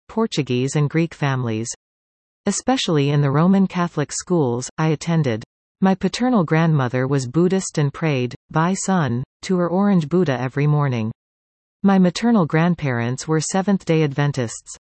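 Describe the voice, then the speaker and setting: A woman's voice: low voice, a little mellow, no echo. Carefully enunciating woman in her forties. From Canada. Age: 40s. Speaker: personal essay reader. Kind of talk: reading a personal essay aloud.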